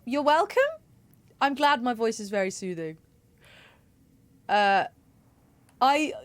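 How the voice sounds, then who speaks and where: A woman casually chatting and laughing while playing a game on stream, in a relaxed, playful way. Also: medium pitch. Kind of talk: casual gameplay commentary.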